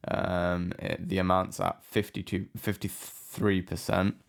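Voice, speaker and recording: A man giving a casual software tutorial in a hesitant, offhand, unscripted way. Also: low voice, flat delivery, measured pace, gravelly. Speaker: music production tutorial host. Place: indoor room with a window.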